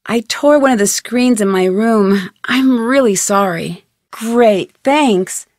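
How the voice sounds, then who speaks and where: Casting: young female sleuth in a mystery game; higher-pitched, curious, determined, questioning delivery, close dry recording. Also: slow, a little mellow, dry recording. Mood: curious, polite. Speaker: video game voice actress. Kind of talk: scripted game dialogue, conversational questions.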